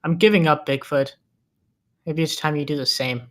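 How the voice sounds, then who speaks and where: A man playing a defeated, weary ordinary guy in a screenplay table read, speaking in a flat, resigned way. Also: on the high side, moderate pace, lightly acted. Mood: defeated, frustrated.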